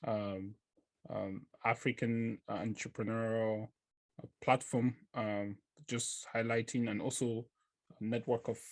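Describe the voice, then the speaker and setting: A man hosting a podcast, introducing and questioning a guest in a halting, friendly way with many fillers. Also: on the low side. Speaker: podcast host. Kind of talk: casual podcast interview.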